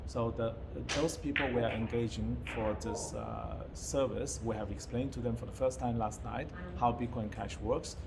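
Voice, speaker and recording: A man's voice: medium pitch, lively intonation, moderate pace, creaky, few pauses, heavy background noise. A man vlogging to camera in a public spot, chatty and playfully mock-secretive, with plenty of fillers and restarts. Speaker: YouTuber vlogger. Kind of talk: casual vlog to camera. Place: public venue with outside view.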